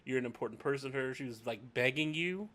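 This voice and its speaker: A man's voice: mid-range voice, quick pace, fairly bright tone. A man chatting casually on a phone call.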